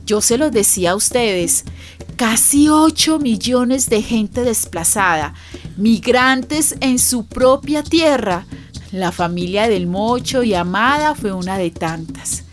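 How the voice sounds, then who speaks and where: An actress playing a neighbour and friend who narrates a radio drama in an intimate, conversational way. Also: female voice, on the high side, animated. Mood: reflective. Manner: conversational, deliberate. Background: music.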